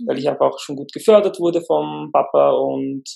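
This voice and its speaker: A man from Lower Austria, a speech therapist talking as a podcast guest, pausing often with fillers; his is Turkish. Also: vocal fry. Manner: hesitant, many fillers, relaxed. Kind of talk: conversational interview.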